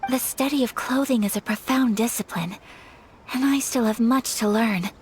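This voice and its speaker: A woman's voice: a little high, a little hiss or hum, lightly acted, poor audio. A woman voicing a refined young noblewoman. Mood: gentle. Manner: formal, gentle, measured. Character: graceful young noblewoman, polite and earnest. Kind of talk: game voice acting.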